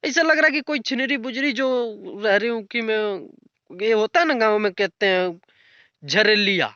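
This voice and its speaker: A man's voice: sits high, moderate pace, pushed and bright, clean tone, no echo, lo-fi sound. A young man giving an unscripted, casual commentary rant in a blunt, colloquial way with crude slang. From rural north India. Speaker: YouTube commentator.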